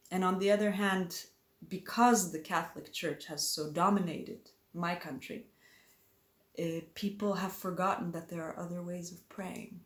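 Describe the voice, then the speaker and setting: A woman from Poland giving a heartfelt spoken address, talking slowly and earnestly with gentle repetitions before she offers a prayer. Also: lowish voice, roomy sound. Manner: slow, earnest and gentle, with many repetitions and pauses. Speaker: speaker introducing a prayer.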